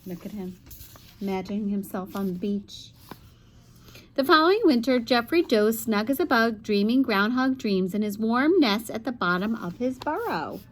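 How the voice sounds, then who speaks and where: Female teacher for story time: high voice, expressive reading, chatty asides, noisy room tone. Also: normal speed. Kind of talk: children's picture book read-aloud with asides.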